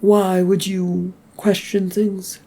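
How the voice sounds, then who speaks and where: A reader voicing a sensible wife who asks steady, gently probing questions. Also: mid-range voice, takes it slowly, pitched above the performer's own voice. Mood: calm. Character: calm, sensible wife on the phone.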